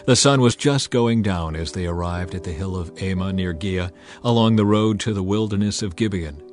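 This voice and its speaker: A male narrator tells a scripture story over a music bed. His delivery is scripted, solemn and measured, in the manner of a dramatized audio Bible. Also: low-pitched.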